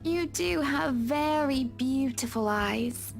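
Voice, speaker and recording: A woman's voice: sits high, lively intonation, slow pace, creaky, faint music behind, exaggerated voice. A woman playing a wealthy young lady in a visual novel, curious, kind and teasing. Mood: admiring. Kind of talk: visual novel game voice acting.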